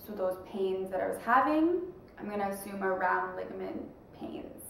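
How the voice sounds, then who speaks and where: A woman's voice: medium pitch, expressive, normal speed, creaky, a little hiss or hum. A young woman vlogging casually to camera, chatty and rambling, with a stuffed-up nose. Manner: rambling, chatty, lots of fillers. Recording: echoey room, mic at a distance.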